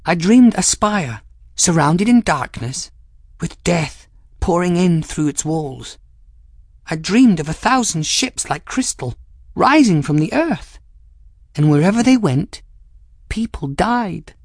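A man acts out a haunted, frightened young woman in an audiobook story. The voice is lifted high and thin, quiet and breathy, trembling with fragility. It is recorded dry. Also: sing-song, slow pace, no room sound, full character voice. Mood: fearful, haunted. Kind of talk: audiobook character acting.

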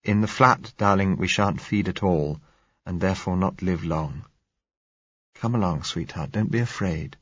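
Audiobook: narrator doing a soft-spoken blind young man, low, husky. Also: unhurried, dry recording, lo-fi sound, full character voice. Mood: tender. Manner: soft, reassuring. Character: gentle blind young man, calm and tender.

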